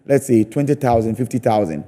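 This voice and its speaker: A man's voice: medium pitch, animated, brisk, mellow. A man with a Ghanaian accent speaking conversationally as a guest in a TV interview, often repeating phrases for emphasis. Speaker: theatre play maker and comedy performer, interview guest.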